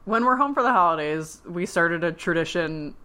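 Lady podcaster with a husky cold voice, kinda low, rambly casual chat. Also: lively intonation, normal speed, pushed and bright. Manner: rambling, self-correcting, laughing often. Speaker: podcast co-host. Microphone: remote call.

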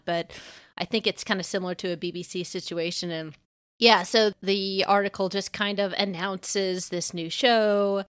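A woman's voice: medium pitch, fairly bright tone, smooth, no room sound, studio quality. A woman co-hosting a podcast, talking in a wry, informal and conversational way. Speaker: guest podcast co-host. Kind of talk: casual podcast discussion.

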